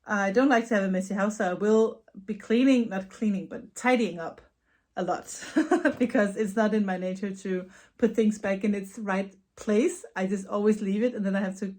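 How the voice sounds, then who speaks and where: A Danish woman with a Danish accent, answering viewer questions in a casual knitting vlog at home, chatty with soft laughs and repeated words. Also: mid-range voice, normal speed. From Denmark. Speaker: knitting vlogger and yarn dyer. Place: her home.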